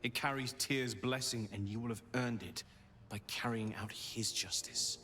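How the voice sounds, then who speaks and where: A man playing a solemn, righteous holy warrior in a fantasy game, speaking gravely and formally. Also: medium pitch, fairly even intonation, moderate pace, sharp tone, natural voice. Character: solemn holy warrior seeking justice, male. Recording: long hall reverb, music behind.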